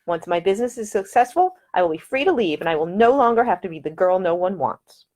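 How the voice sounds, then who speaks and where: A woman's voice: medium pitch, big pitch swings, darkish tone. A woman reading as a spirited young lady perfumer. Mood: earnest, determined. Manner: brisk, earnest and self-assured. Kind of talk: audiobook character dialogue.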